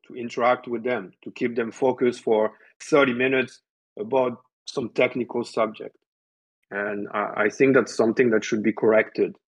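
A man's voice: mid-range voice, breathy edge, highs cut off. A man from southern France with a French accent, a guest speaking casually in an interview, stressing his words and using lots of "you know". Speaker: guest, developer relations.

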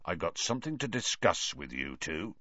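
A man voicing a big, kindly, gruff gamekeeper in a storybook, earnest and plain-spoken with rustic dropped words. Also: sits low, sing-song, normal speed, fairly bright tone, no room sound, highs cut off, voice pushed down, full character voice. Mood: serious.

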